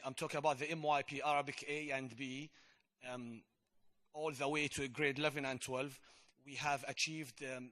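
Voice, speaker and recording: A man's voice: mid-range voice, fairly bright tone. A man presenting school language results to parents, hesitant and often repeating his words. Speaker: Arabic department presenter.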